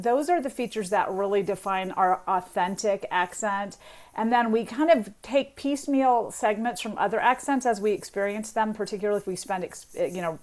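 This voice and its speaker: A middle-aged woman professor from Tennessee with a faint Southern accent, explaining warmly as a radio guest and laughing easily. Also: medium pitch. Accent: slight Southern US. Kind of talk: radio interview.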